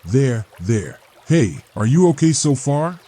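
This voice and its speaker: A man's voice: medium pitch, very animated, slow, mellow. A man playing a kindly, protective older man with a folksy, colloquial way of talking in a dramatized audio comic. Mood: calm, concerned. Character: kindly older homeless man, gruff but protective rescuer.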